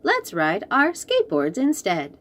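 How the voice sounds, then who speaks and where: A woman acts out an eager robot from a children's storybook. It is boastful and bold, speaking in exclamations at an unhurried pace with animated intonation. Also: on the high side, exaggerated voice.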